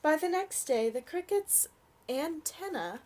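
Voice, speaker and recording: A young adult woman reading a storybook aloud for children in an expressive, gentle way. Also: high-pitched, measured pace.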